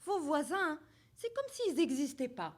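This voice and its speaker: A woman's voice: high-pitched, big pitch swings, a little slow. A woman playing an earnest, probing immigrant woman. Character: outspoken Algerian immigrant woman. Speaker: actor in a public reading. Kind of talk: staged play reading.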